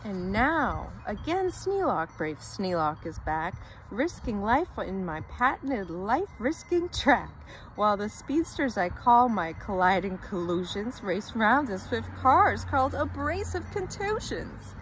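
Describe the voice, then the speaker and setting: A woman's voice: mid-range voice, sing-song, unhurried, a little hiss or hum, poor audio. A woman reading a rhyming children's story aloud with theatrical, dramatic emphasis.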